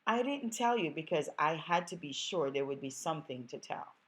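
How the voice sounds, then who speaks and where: A Jamaican woman reading as a composed young female lawyer from a storybook reading. She speaks in a measured, guarded way, and her voice is pitched noticeably higher than her own low narrating voice. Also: animated.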